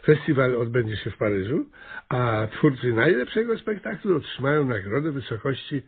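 An actor playing a pompous, scheming and excitable small-town mayor in a radio comedy, blustering and often hesitant. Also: male voice, medium pitch, fairly quick, slightly rough, narrow, tinny audio. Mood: eager, fumbling. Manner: hesitant, blustering, self-important. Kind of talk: Polish radio comedy acting.

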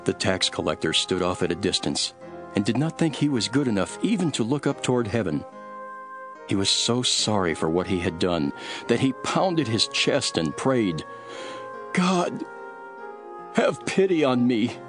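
A solemn teacher of parables in a scripture audio drama. The voice sits fairly low and moves expressively as he teaches in a measured way. Soft music runs behind him. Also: very animated. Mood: solemn storytelling.

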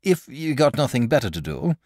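A man's voice: on the high side, a little fast, gravelly, darkish tone, no room sound, studio quality, voice pushed up. A man voicing a genial, bashful male dragon who is a lazy poet, talking in a chatty, polite, eager way.

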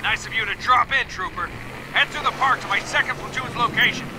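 High-pitched, harried military colonel. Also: male voice, background noise. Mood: brisk, urgent. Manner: brisk, commanding. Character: harried male military colonel commanding troops by radio. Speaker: commanding officer. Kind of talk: video game voice acting.

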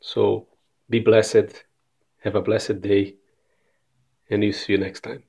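A Brazilian man in his thirties speaks English slowly and carefully, with a Brazilian Portuguese accent and frequent restarts as he searches for words. His voice is husky and a little low. He talks straight into a phone held at arm's length. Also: level tone, mellow. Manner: slow, careful non-native English with frequent repeated words. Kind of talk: casual devotional message to camera. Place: bright room indoors. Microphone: phone camera at arm's length.

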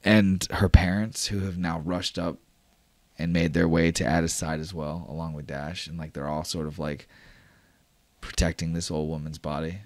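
A man's voice: deep voice, flat delivery, normal speed, darkish tone, dry recording. A man running a tabletop roleplaying game as game master, narrating vividly with frequent fillers like "like.".

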